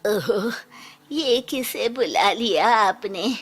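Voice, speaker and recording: A woman's voice: medium pitch, lively intonation, extremely slow, husky, faint music behind, heavily acted. A woman voicing a suspicious, accusing sick wife in a storybook tale. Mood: suspicious, hostile.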